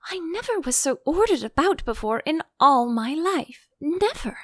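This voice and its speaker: A woman's voice: sits high, sing-song, normal speed, dry recording, studio quality, voice pushed up. A woman voicing a curious young girl in a storybook, earnest, wondering and easily indignant.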